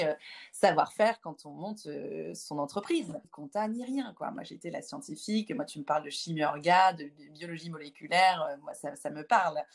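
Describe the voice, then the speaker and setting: Female, 20s, slightly low pitch. Founder-guest in a conversational interview, halting with frequent filled pauses. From Lyon, France.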